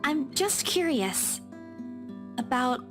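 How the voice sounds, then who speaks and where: A high-voiced young woman in a visual novel game. She is polite but easily flustered, and she stammers and hesitates as she defends herself. Soft guitar music plays behind her. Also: big pitch swings, takes it slowly, exaggerated voice. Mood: hesitant, awkward.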